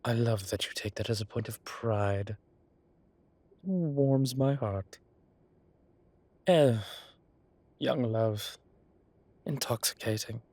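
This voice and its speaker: Urbane theatrical employer played by a man, arch and fondly teasing, very unhurried drawl, dry sound, audio drama. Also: medium pitch, darkish tone, dry recording. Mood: amused, teasing. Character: urbane, playful male boss, fond and theatrical.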